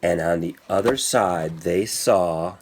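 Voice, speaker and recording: A man's voice: low-pitched, slow pace. A man reading a children's story aloud as scripted narration, with a theatrical, suspenseful delivery. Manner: theatrical, suspenseful delivery with emphatic sound words. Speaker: storybook reader.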